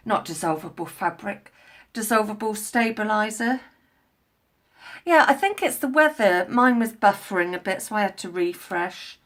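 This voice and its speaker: Woman, sniffly head cold; chatty, rambling live-stream crafter talking into a tablet at home. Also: medium pitch. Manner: rambling, chatty, many fillers and restarts. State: congested with a head cold, coughing. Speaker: craft vlogger. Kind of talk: casual live-stream chat while crafting. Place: at home indoors.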